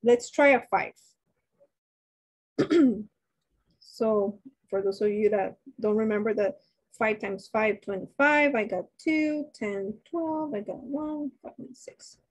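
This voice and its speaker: Woman instructor, slightly high voice, talks to class over webcam, restarts a lot. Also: takes it slowly, long pauses, low-quality recording. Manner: thinks aloud with many restarts, asks class questions. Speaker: nursing instructor. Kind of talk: live online math lecture. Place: indoors, webcam beside shared slides.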